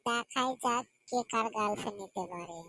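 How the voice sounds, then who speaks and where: A cheeky village boy from a comic cartoon, voiced by a woman, bickering in colloquial speech. The pitch sits in the middle, and the manner is boastful and taunting, like a childhood friend who loves to argue. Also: brisk, pushed and bright, faint music behind, natural voice.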